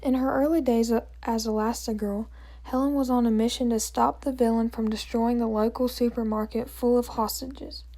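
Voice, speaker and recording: A woman narrating a scripted story, reading it aloud in a plain, measured way. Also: on the high side, fairly even intonation, mellow.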